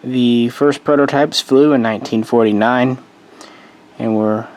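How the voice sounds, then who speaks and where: A man's voice: mid-range voice, steady tone, measured pace, darkish tone. A man losing his voice, casually narrating a history explainer as host, rambling and correcting himself with offhand asides.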